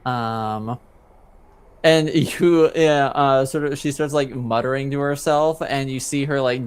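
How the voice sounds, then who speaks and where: Male game master, improvised tabletop narration, smooth clean tone, slow hesitant delivery padded with fillers. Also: medium pitch, steady tone. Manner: hesitant, halting, many filler words.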